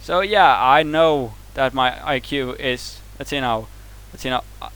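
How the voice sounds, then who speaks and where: A man's voice: mid-range voice, a little slow, a bit bright, clear, resonant tone, low-quality recording. A man with a Swedish accent whose first language is Swedish, ranting angrily to camera, heated and profane, often stumbling and restarting words. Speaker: vlogger reacting to a video. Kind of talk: angry rant to camera.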